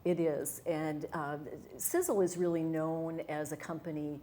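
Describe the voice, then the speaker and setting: Brief: middle-aged woman, low voice, eager and sincere, faint background hiss. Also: unhurried, mellow. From Wisconsin, USA. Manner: earnest, enthusiastic, with fillers and restarts. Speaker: interview guest, hypnotherapist and wellness coach. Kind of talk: conversational interview.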